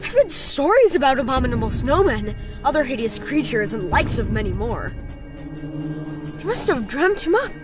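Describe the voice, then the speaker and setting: A woman's voice: sits high, big pitch swings, moderate pace, loud music behind the voice. A woman playing an earnest, wide-eyed little boy in an audio storybook drama. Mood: amazed.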